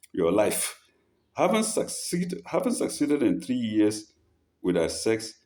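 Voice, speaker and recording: Male advice-show host: slow and halting as he reads letters aloud, low in pitch. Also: dark tone. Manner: halting, rereads phrases and corrects himself. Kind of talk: reading listener letters aloud with commentary.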